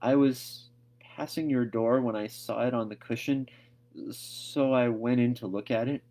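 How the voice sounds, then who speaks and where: A man's voice: medium pitch, steady tone, a little slow, dark tone, pitched above the performer's own voice, close to an everyday voice. A man voicing an earnest, imaginative young girl in a storybook, with a wordy, dramatic manner. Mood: hesitant, contrite. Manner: effusive, wordy, hesitant when questioned.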